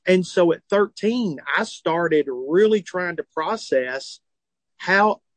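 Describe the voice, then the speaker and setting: Southern comedian in his forties, lightly high voice, dry close sound, animated and earnest storytelling. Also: takes it slowly, dry recording. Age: 40s. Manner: animated, earnest, self-deprecating humor. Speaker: comedian and author, guest. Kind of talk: phone or remote interview, candid storytelling. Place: tour bus.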